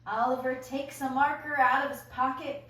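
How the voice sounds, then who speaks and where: A woman's voice: high-pitched, steady tone, moderate pace, fairly bright tone. An encouraging, enthusiastic woman leading a group and reading out stage directions at a table read. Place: echoey room, recorded from afar. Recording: roomy echo, distant mic, faint noise.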